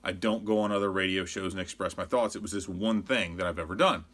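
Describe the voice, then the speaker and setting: American man, forties, lowish pitch, casual sports host, rambling, conversational, exasperated, opinion monologue. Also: quick pace, a bit bright. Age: 40s. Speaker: sports blogger and show host.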